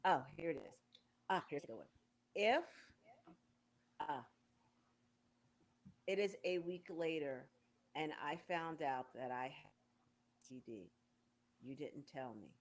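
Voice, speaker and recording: A woman's voice: sits low, slow pace, long pauses. A woman in her sixties hosting a casual talk show, quizzing her guests in a playful, game-like way. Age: 60s. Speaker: radio show host.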